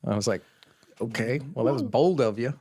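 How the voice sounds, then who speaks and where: A man in his fifties, co-hosting a podcast, telling stories in a halting way with dry humor. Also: medium pitch, warm tone. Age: 50s. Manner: halting storyteller, trailing fillers and restarts, dry. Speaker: podcast co-host. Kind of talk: casual chat.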